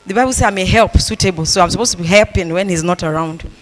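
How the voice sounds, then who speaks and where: A woman's voice: lowish voice, fast talker. A woman pastor giving a conference talk, telling stories with humor and repeating phrases for emphasis. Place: conference hall. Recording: long reverb of a large hall.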